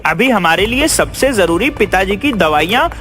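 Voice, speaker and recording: A man's voice: sits high, expressive, normal speed. A man playing an honest, dutiful young farmer in a Hindi moral story, speaking in an earnest, reproving way.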